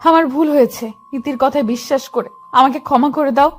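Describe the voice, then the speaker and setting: A woman's voice: high voice, a little fast, lightly acted. A woman playing a hurt, devoted young wife and mother in a dramatised audio love story. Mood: pleading. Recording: music under the voice.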